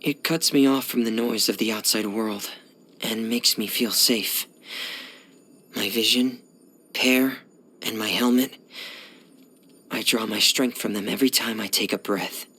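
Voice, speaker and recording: A man playing a shy, gloomy teenage boy diver in video game voice lines, speaking softly and hesitantly in a hushed, breathy voice. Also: fairly low pitch, fairly even intonation, measured pace, long pauses, full character voice. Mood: calm, pensive. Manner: hesitant, reserved, subdued.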